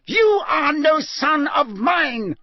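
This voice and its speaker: A man's voice: very high-pitched, very animated, measured pace, no room sound, pitched above the performer's own voice, exaggerated voice. A man voicing a stern, cold older male judge who bellows formal accusations. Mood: furious. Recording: dull, slightly muffled.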